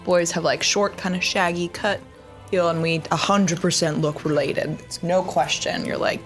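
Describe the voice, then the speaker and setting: Animated woman player arguing in character. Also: lowish voice, normal speed, faint music behind. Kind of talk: tabletop roleplay, in character.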